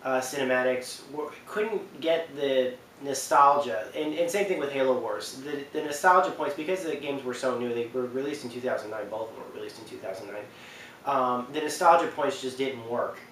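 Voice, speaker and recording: A man in his twenties hosting a video, talking casually and a little haltingly to the camera. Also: medium pitch, fairly bright tone. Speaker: video host presenting a ranking. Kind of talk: casual unscripted talk to camera. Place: small indoor living room on a couch. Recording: roomy, distant mic, faint noise.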